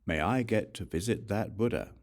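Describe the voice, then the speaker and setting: A man reading Buddhist scripture as a scripted audiobook narration, in a measured, solemn way with deliberate phrasing. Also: on the low side.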